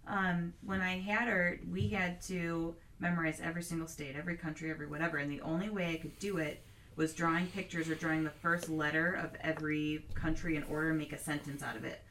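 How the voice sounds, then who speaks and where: A woman with a lowish, gravelly voice chats casually and drifts through long, meandering stories. She sits some distance from the mic, with a light hiss of noise behind her. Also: fairly even intonation, fairly quick, fairly bright tone. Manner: chatty, meandering storyteller. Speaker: guest, host's sister. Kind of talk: casual chat.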